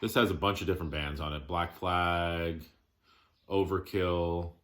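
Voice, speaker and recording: A man giving a casual show-and-tell of his collection, plainspoken, listing items in short, halting phrases. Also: low voice, steady tone, fairly bright tone, slight background noise.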